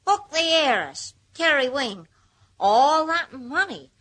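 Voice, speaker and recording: An actress in an old-time radio drama playing a gushing, money-minded gossip with an Australian accent. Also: female voice, high-pitched, very animated, slow, fairly bright tone, heavily acted.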